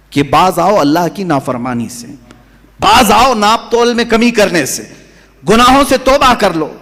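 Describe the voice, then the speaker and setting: A man's voice: high voice, a bit bright, in a large hall. A man delivering a sermon as a preacher, with impassioned oratory that rises and falls. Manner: impassioned, rising and falling oratory.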